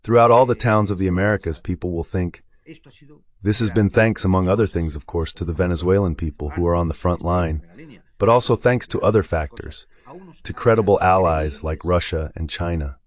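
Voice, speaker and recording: A man's voice: low-pitched, a little fast. A man speaking as a guest analyst, in a translated voice-over of a live discussion on world affairs.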